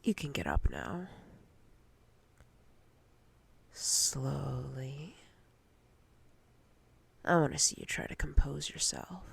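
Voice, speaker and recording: A woman playing a dominant, possessive female boss in roleplay ASMR, commanding and teasing in a breathy, often whispered voice. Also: sits low, full character voice. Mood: smug, commanding. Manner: slow, deliberate, commanding.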